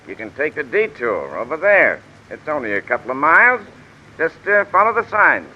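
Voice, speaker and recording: A man's voice: fairly high pitch, wildly swooping pitch, moderate pace, dull audio, heavy background noise. An actor playing a brusque male roadblock officer in an old radio drama. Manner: brusque, calls people buddy.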